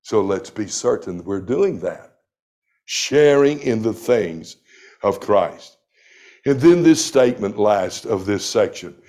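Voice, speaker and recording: A man in his sixties preaching a sermon, reading scripture aloud with deliberate emphasis. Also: fairly low pitch, lively intonation, dark tone. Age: 60s. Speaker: pastor.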